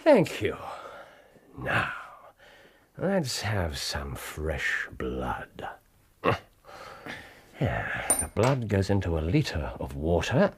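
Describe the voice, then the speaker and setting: An actor playing a brilliant, exuberant Victorian English scientist detective in a British radio drama. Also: male voice, sits low, huge pitch swings, very slow, pauses often, slight background noise, full character voice. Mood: intent. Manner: exuberant, theatrical, eager.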